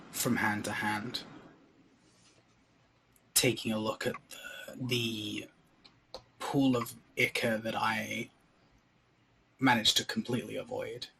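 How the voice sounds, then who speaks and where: A man's voice: lowish voice, fairly bright tone, a little hiss or hum, poor audio. A man playing a tabletop game, describing his character's actions in the first person in a tentative, reflective way.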